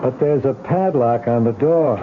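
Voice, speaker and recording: An actor playing a skeptical, matter-of-fact police lieutenant, blunt and firm, in old-time radio mystery style. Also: male voice, mid-range voice, unhurried, lightly acted. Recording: noisy, tinny old radio broadcast.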